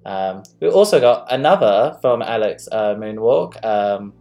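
A woman's voice: very deep voice, a little slow, warm tone. A woman hosting a casual live-stream chat, talking loosely with lots of fillers. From London. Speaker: host.

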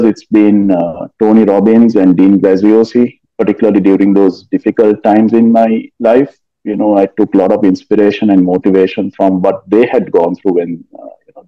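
Soft-spoken Sri man, lo-fi audio. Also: lowish voice. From Sri Lanka. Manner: measured, hesitant with frequent fillers. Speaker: guest, finance executive and author. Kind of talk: casual interview answers.

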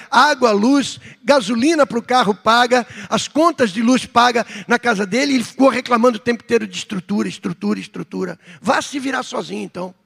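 A middle-aged Brazilian man preaching a sermon as pastor, with fervent outbursts and softer reflective stretches. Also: high-pitched, gravelly, slightly rough. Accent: Brazilian Portuguese. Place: church hall. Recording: long hall reverb.

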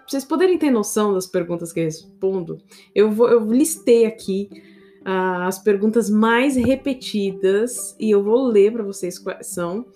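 A Brazilian woman, an English teacher, hosts her own podcast in a chatty, animated way and talks straight to her listeners. Her voice sits a little higher than most women's. Soft guitar music plays quietly behind her. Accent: Brazilian Portuguese. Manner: chatty and animated, often addresses listeners directly. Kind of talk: casual solo podcast talk.

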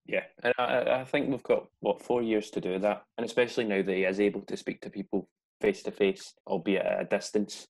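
A man with a very low voice and plenty of vocal fry discusses politics casually. His intonation stays fairly flat as he talks on a podcast panel. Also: a little fast, low-quality recording.

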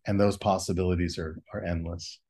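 A man's voice: sits low, level tone, fairly quick, darkish tone, slight background noise. A man speaking as a guest in a reflective spiritual conversation, musing and asking a string of rhetorical questions. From United States.

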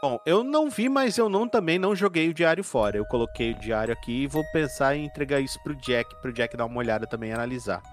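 A man with a Brazilian accent playing a tabletop horror RPG, improvising his character's actions in a joking, halting way. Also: medium pitch. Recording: music playing behind the voice.

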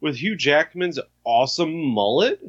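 A man co-hosting a casual gaming podcast, animated and teasing, swearing freely. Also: a little high, a little slow, highs cut off. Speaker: podcast co-host. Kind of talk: casual chat.

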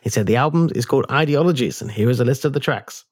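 A man co-hosts a music podcast in easy, casual chat, poking fun at himself and laughing often. His voice sits at a middle pitch, recorded close and dry with no room sound. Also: fast talker, dry recording. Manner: self-deprecating, laughs often. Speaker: podcast co-host. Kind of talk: casual radio-style chat.

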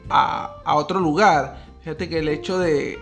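Venezuelan man explaining, slightly high voice. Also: unhurried, gravelly, fairly bright tone, soft background music. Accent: Venezuelan Spanish. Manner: explaining, asks questions. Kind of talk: casual chat.

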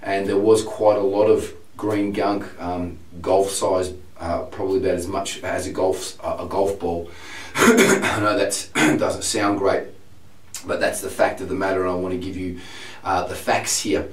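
A voice teacher with a congested, slightly hoarse voice from laryngitis, candidly describing his symptoms in a video diary. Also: sits low, level tone. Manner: candid, detailed, unhurried self-report. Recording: roomy, distant, piano in background.

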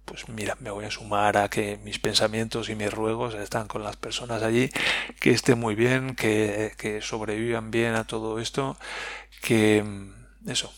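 Gravelly Spanish man musing aloud. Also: fairly low pitch, a bit bright. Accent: Spain Spanish. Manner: thoughtful, musing aloud with pauses and restarts. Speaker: podcast host. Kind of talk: reflective personal podcast monologue.

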